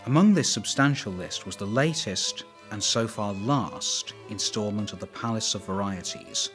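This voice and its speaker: A man's voice: fairly low pitch, normal speed, a bit bright. A man reading scripted documentary narration, with wry asides. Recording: voice over background music.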